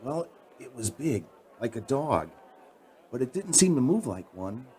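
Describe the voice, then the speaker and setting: A man's voice: mid-range voice, very animated, a little slow, mellow, some chatter behind. An actor playing a frightened, earnest young night-shift worker, telling his story hesitantly in a radio drama. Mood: haunted.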